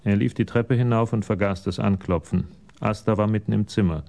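An actor as the narrator of a crime radio play, reading in a measured, detached manner. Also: male voice, lowish voice, steady tone, creaky, mellow.

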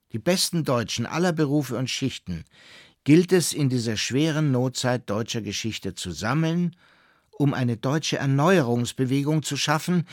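Casting: male voice, mid pitch, solemn proclamation, dry studio. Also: dry recording. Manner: solemn, declamatory. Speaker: reader of a public manifesto. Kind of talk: formal declamatory reading.

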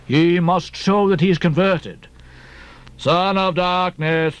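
A man's voice: sits high, steady tone, smooth, dry recording, muffled recording. Man doing hollow, stern old pious captain. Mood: stern, suspicious. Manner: slow, preachy, archaic thee and thou.

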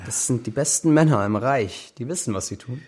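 A man's voice: medium pitch, animated, a little fast, darkish tone, slightly rough. A man playing a director's cool, guarded young son in a German radio drama, curt and dutiful.

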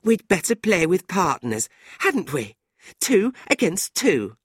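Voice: medium pitch, sing-song, slow pace, slightly rough, dry recording, studio quality, pitched above the performer's own voice, exaggerated voice. A narrator voicing a jealous, spiteful young woman in a storybook, bitter and dramatic. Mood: pointed.

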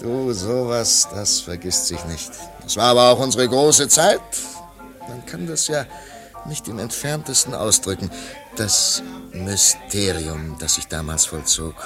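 An actor in a German radio play voicing a disillusioned, wistful male artist who talks in a theatrical, halting way. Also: fairly low pitch, over music. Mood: nostalgic, reverent.

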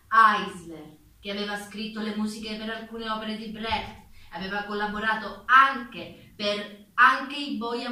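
A woman's voice: fairly high pitch, pushed and bright. A woman delivering a scripted narration as a theatrical narrator. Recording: echoey, distant, faintly noisy stage recording.

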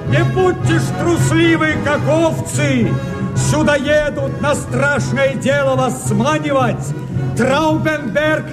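A man's voice: extremely high pitch, slow. An actor, a man, playing an alarmed Cossack herald who calls out urgently in theatrical radio-play declamation. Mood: urgent warning. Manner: urgent, calling out. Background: loud music.

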